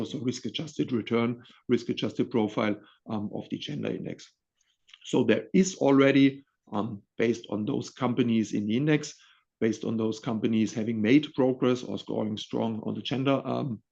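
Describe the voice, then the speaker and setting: A man's voice: medium pitch, vocal fry, darkish tone. A man presenting index performance figures in a webinar, talking with frequent fillers and restarts. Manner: halting, many fillers and restarts. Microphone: video call. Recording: muffled lo-fi webinar audio.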